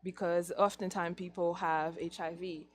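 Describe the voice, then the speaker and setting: A woman from Haiti with a Haitian accent, a teacher guest on a talk show, speaking in a measured, thoughtful way. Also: fairly low pitch, flat delivery, a little hiss or hum.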